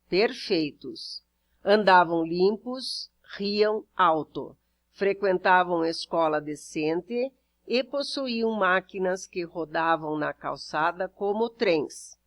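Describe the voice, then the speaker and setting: A woman teacher with a Brazilian Portuguese accent who reads out workbook questions and explains each answer step by step. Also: on the low side. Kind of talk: reading out and correcting a workbook exercise.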